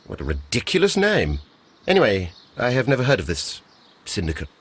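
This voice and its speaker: A brusque gentleman for a mystery game. He sounds husky and formal, a little scornful. His intonation rises and drops dramatically. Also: lowish voice, wildly swooping pitch, fairly quick, heavily acted. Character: brusque, dismissive English office gentleman. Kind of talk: scripted game dialogue, giving testimony.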